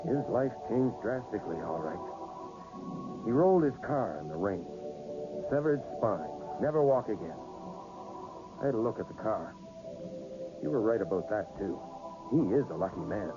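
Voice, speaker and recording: An actor playing a tough, skeptical police detective who questions curtly and bullyingly, in a 1980s radio drama style. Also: male voice, fairly low pitch, sing-song, normal speed, pauses often, over music. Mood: hard, accusing. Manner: curt, bullying interrogation. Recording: dull, noisy old radio broadcast recording.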